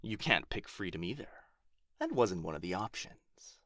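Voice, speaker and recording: A man acting a proud, wounded, menacing dragon in an ASMR roleplay, taunting theatrically with drawn-out words and whispers. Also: low voice, big pitch swings, fairly bright tone, no room sound, studio quality. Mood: amused, pained. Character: proud, menacing, wounded male dragon guarding his gold.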